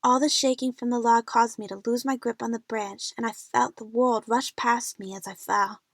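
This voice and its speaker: A woman's voice: on the high side, flat delivery, normal speed, dry recording, clean studio sound. A woman playing a frightened young female adventure fan, narrating her own jungle ordeal in a scripted audio drama. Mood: fearful, suspenseful.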